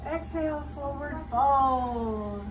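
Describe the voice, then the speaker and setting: A woman's voice: sits high, slow pace. A woman yoga teacher leading a children's class, giving short step-by-step cues and checking in with questions. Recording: distant mic, clearly noisy room.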